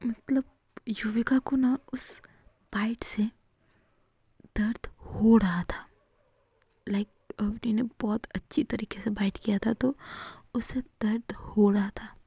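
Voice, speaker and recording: Brief: female storyteller, dark whispered voice, very dry close sound. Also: on the high side, fairly even intonation, breathy edge, no room sound. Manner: soft whisper, slow, with frequent fillers. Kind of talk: whispered Hinglish story narration.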